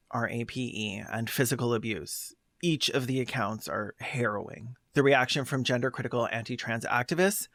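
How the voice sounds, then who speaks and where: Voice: mid-range voice, creaky, a bit bright, no room sound. A video essayist delivering scathing, sarcastic commentary in a blunt way, moving between scripted narration and asides.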